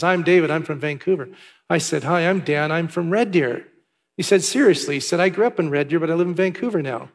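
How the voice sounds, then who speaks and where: A pastor from Canada giving church announcements and a sermon in a chatty way, with asides and filler words. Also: male voice, a little high. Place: large church hall. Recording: long hall reverb.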